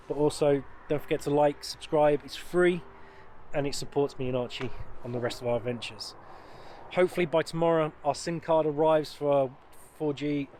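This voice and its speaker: A man's voice: mid-range voice, darkish tone, a little hiss or hum. An English man from the UK talking casually to camera as a van life vlogger, rambling and upbeat with frequent ums and restarts. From United Kingdom. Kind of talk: casual vlog talk to camera.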